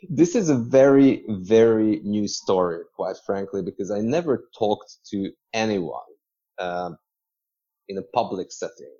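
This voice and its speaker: Male podcast guest, lowish pitch, measured conversational storyteller with hesitations, muffled lo-fi audio. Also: mellow. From Hungary. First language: Hungarian.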